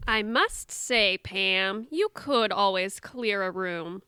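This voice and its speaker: A woman's voice: mid-range voice, slow pace, sharp tone, clear, resonant tone, no room sound, clean studio sound. A young woman acting in an audio drama as a sarcastic, defiant daughter back home with her family, dryly exasperated.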